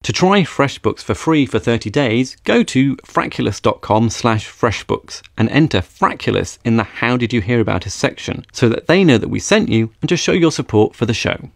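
Male podcast host, thirties, British, mid-pitched, very dry close sound. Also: sing-song, normal speed, vocal fry, hardly any pauses, no echo. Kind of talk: casual tech podcast chat, scripted sponsor read. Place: small home studio room.

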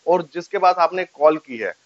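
A man's voice: on the high side, animated, normal speed, no echo, lo-fi sound. A man gives a casual step-by-step tutorial to camera, explaining and repeating each step. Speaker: tech tutorial host. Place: small furnished room indoors, on a sofa. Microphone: lapel mic.

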